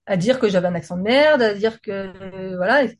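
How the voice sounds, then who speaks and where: A woman's voice: medium pitch, moderate pace. A woman from France with a French accent, chatting casually and talkatively in informal, slangy language. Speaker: singer, guest. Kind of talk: casual conversation.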